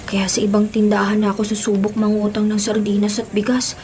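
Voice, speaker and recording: A woman's voice: mid-range voice, completely flat delivery, brisk, a little mellow, close to an everyday voice. A woman playing a sad, earnest young boy in a radio drama, polite and pleading. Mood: worried. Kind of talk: Filipino radio drama acting.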